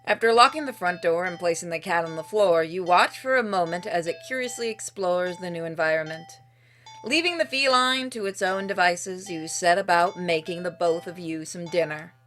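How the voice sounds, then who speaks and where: A woman reading a visual novel game's narration aloud in an expressive storytelling way, with casual, dryly humorous asides. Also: fairly low pitch, moderate pace, soft background music. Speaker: streamer playing and narrating a game.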